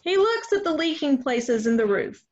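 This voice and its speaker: A woman's voice: sits high, big pitch swings, moderate pace, darkish tone. A woman reading stage directions as scripted narration for a play reading, on a dull online recording. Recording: dull lo-fi online call sound.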